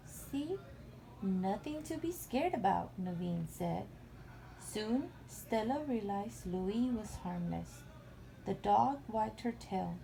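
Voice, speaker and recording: A woman's voice: medium pitch, vocal fry, warm tone, slight background noise, poor audio. A woman reading a storybook aloud as a mother would at bedtime for a young child.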